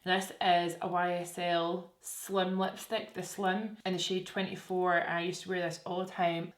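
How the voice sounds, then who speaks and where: A woman's voice: fairly low pitch, flat delivery, a little slow. A young woman chatting candidly to camera while doing her makeup, self-deprecating and open. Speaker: beauty vlogger. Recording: echoey room with faint music.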